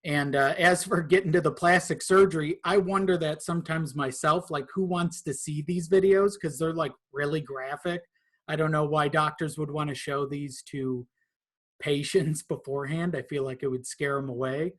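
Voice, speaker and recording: A man chatting casually as a podcast guest, in a halting, amused manner. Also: on the high side, fairly even intonation. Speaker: podcast guest, video editor. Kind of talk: casual chat.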